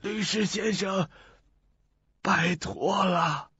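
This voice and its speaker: An actor playing a frail, dying old man in a radio drama, pleading in halting, laboured phrases. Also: high-pitched, pushed and bright, husky, dry recording, heavily acted. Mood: desperate, insistent. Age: elderly. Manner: halting, weak, laboured speech. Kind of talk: Mandarin radio drama acting. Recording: dull lo-fi audio with faint music.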